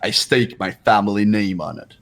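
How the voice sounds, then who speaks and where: A man playing a proud, analytical high school student in a fan-dubbed video game, speaking in a haughty, deliberate way. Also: fairly even intonation, natural voice. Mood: cold, challenging. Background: game music.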